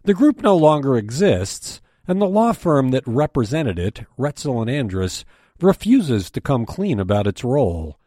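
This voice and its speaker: A man's voice: mid-range voice, sing-song, a little slow, warm tone, no room sound, studio quality. A man with an American accent reading a scripted news briefing as its host and editor.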